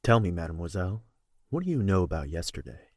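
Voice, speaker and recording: A man playing a flirtatious, self-assured royal guard in an audio drama, teasing and husky. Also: deep voice, big pitch swings, quick pace, a little mellow, dry recording, studio quality, exaggerated voice. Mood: probing.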